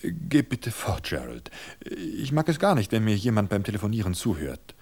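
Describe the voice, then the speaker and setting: A man voicing a frightened young woman who is forcing herself to sound calm. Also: fairly low pitch, normal speed, slightly rough, exaggerated voice. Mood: urgent. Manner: feigning calm, tense and pleading. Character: frightened young wife hiding her fear. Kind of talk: audiobook reading of a thriller.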